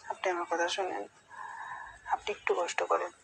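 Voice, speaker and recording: A middle-aged woman talks on a recorded internet call with faint noise behind her. Her delivery is fairly flat and gravelly, yet hesitant and pleading, and she circles back to repeat herself. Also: lowish voice, fairly quick, poor audio. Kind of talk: private phone conversation.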